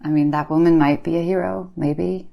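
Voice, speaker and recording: A woman's voice: low voice, fairly even intonation, moderate pace, gravelly, dull audio. A woman playing a blunt, casual creepy-story teller, offhand and slangy in her banter. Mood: amused, casual. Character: Bee, blunt, slacker-casual woman trading creepy stories. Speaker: podcast co-host.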